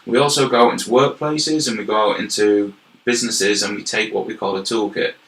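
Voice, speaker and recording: A man's voice: fairly low pitch, fairly even intonation, a little fast, a bit bright. A young man with a Yorkshire accent, from York, talking earnestly as an interview guest and explaining his work at length, often repeating words as he goes. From York, England. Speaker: guest, health and wellbeing manager. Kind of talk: casual interview chat. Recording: roomy echoey recording.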